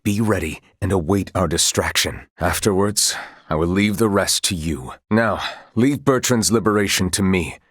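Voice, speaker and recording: A noble knight for a fantasy game, deep n a bit raspy, super formal old-timey talk, earnest and full of guilt, dry close sound. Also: male voice, fairly bright tone, no echo. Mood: resolute. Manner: formal archaic courtly diction. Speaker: voice actor in a video game. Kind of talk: dramatic fantasy game voice acting.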